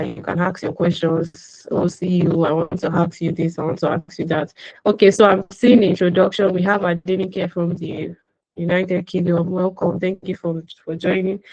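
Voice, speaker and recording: A woman's voice: on the low side, no echo. Chatty woman hosting a video call class. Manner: chatty, welcoming, addresses attendees by name. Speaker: online class host. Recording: muffled dry video call audio.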